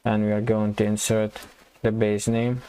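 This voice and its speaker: A man giving a casual coding tutorial, thinking aloud and explaining step by step in an informal, halting way. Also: lowish voice, monotone, dark tone, smooth. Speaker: programming tutorial instructor.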